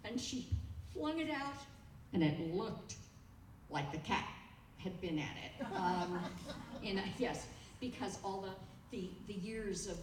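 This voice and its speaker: A woman presenting informally at a club meeting, telling stories and taking questions in a halting, pausing way. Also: medium pitch, lively intonation. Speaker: presenter at a quilt guild meeting. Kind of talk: informal talk and audience questions. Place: echoey meeting room. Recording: echoey, distant mic, faint room noise.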